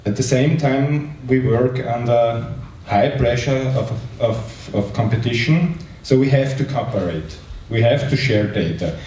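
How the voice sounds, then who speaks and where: Mellow, halting man in a roomy space. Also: mid-range voice. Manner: halting, repeats words. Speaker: private sector representative, company head. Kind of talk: public speech at a panel event. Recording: roomy, distant mic, faint noise.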